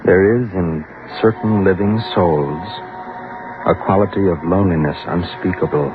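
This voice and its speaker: Very low male voice, actor as a gentle, lonely soul in old radio drama; measured, tender, muffled recording under loud music. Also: natural voice. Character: lonely, gentle man narrating his own love story.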